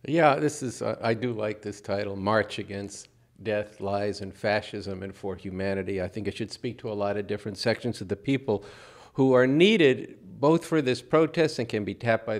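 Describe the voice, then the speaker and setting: An American man co-hosting political commentary, halting and emphatic, repeating phrases as he builds his points. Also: on the low side. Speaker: show co-host.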